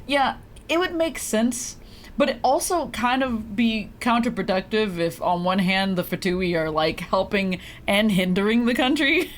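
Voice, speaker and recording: Lively, chatty woman streaming a game. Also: mid-range voice, measured pace, a little hiss or hum. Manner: chatty, giggly, swears casually. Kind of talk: casual live gameplay commentary.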